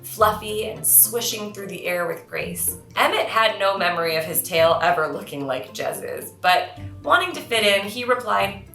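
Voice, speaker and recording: A woman with a bright, clear-cut storytelling delivery, reading for children as the author. She is expressive and earnest. Her voice has a roomy echo, with music faintly behind it. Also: mid-range voice, normal speed. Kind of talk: expressive children's read-aloud narration.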